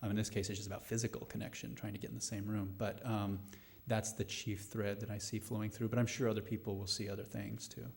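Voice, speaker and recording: A middle-aged man speaking thoughtfully and haltingly as a playwright answering questions at a live post-show discussion. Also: lowish voice, level tone, gravelly, darkish tone. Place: live radio play venue before a studio audience.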